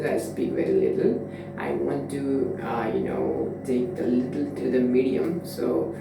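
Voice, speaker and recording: A young man from Nepal muses aloud in his twenties, wandering through thoughts with endless fillers and false starts. His voice has a gravelly edge and sits far from the mic in a strongly echoing room, with steady background noise. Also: mid-range voice. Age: 20s. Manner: rambling, full of "you know" fillers and restarts. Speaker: vlogger. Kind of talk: casual reflective monologue.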